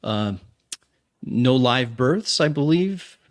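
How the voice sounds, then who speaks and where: A man improvising in character as a tabletop roleplaying player, deliberately mulling over his phrases aloud. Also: mid-range voice, animated.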